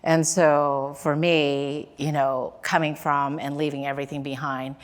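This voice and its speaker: Low-voiced woman, hall reverb. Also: little pitch movement, a little slow. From Laos. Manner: hesitant, many "you know" fillers. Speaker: designer panelist. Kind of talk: panel discussion answer.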